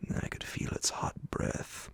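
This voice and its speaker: A man reading a horror bedtime story in a slow ASMR audiobook style, with a gravelly, breathy voice that often sinks toward a whisper and dramatic, drawn-out phrasing. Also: fairly bright tone. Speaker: narrator reading a classic horror story aloud.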